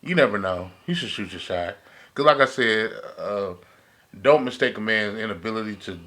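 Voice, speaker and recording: A man's voice: lowish voice, expressive, normal speed, bright, forceful tone. A man co-hosting a podcast, talking in a colloquial, slangy way in casual panel chat. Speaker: podcast co-host.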